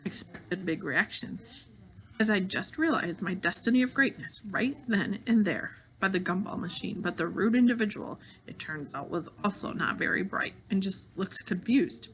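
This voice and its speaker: A woman reading a children's novel aloud in an expressive storytelling style. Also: mid-range voice, normal speed, slight background noise, low-quality recording. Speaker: audiobook reader of a children's novel.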